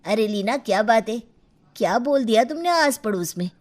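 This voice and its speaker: A woman's voice: fairly high pitch, lively intonation, moderate pace, darkish tone, voice pushed down, lightly acted. A woman voicing a flustered, put-upon young husband in a cartoon story, in an exasperated, colloquial manner. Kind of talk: cartoon story character acting.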